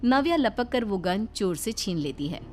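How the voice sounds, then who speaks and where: A woman's voice: mid-range voice, sing-song, normal speed, slight background noise. A woman giving scripted narration as the storyteller of a cartoon moral story. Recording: background music behind the voice.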